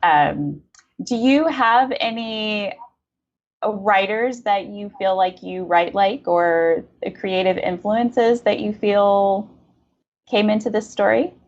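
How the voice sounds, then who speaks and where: A woman's voice: mid-range voice, slow pace, lo-fi sound. A woman interviewing in a casual literary conversation, speaking in an effusive, affirming way. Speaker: podcast interviewer.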